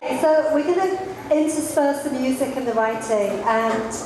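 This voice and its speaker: A woman's voice: high-pitched, normal speed. A woman hosting a public literary event, speaking informally as she introduces it. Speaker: event host. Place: large echoey room at a public event. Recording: very echoey hall, faint background noise.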